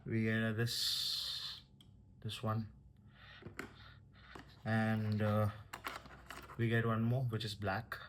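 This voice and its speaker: A man giving casual, halting commentary as a vlogger unboxing a product. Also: lowish voice, completely flat delivery, noisy background.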